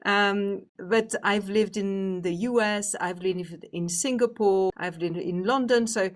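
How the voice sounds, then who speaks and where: A woman's voice: mid-range voice, slow. Middle-aged French-accented woman. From France. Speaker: guest executive. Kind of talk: podcast interview.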